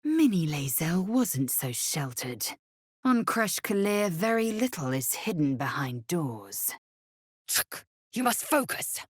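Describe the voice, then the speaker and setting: A woman voicing a fierce, haughty young alien warrior in a video game, with a husky, breathy rasp and a clipped, imperious delivery. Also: lowish voice, big pitch swings, slow, no room sound, studio quality, exaggerated voice. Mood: scornful, fierce. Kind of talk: video game character voice lines.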